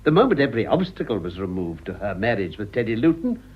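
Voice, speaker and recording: A man playing a smug, scheming English gentleman with a Received Pronunciation accent in a vintage radio drama, pompous and theatrical. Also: lowish voice, sing-song, quick pace, breathy edge. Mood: gloating. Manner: pompous, self-congratulatory, theatrical. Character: smug, scheming upper-class English gentleman, pleased with himself. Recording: muffled old radio recording.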